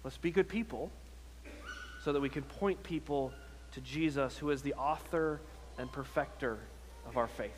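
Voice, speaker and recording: A man's voice: medium pitch, normal speed, long pauses, slight background noise. A man preaching a sermon as a pastor, earnest and exhortative, posing rhetorical questions and often repeating his phrases. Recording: long hall reverb on sermon audio.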